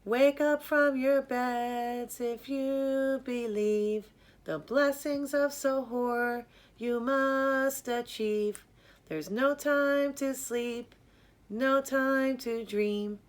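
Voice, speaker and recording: Woman as a young girl drummer, high slow singsong. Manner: slow, drawn-out singsong chanting. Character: devout young girl drummer singing wake-up verses.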